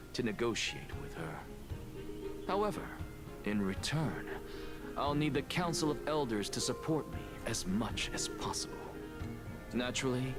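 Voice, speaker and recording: An actor playing a haughty, blunt, self-assured scholar lord in a fantasy game, with an imperious, formal manner. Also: male voice, medium pitch, natural voice. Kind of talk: scripted fantasy game dialogue. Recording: game dialogue under background music.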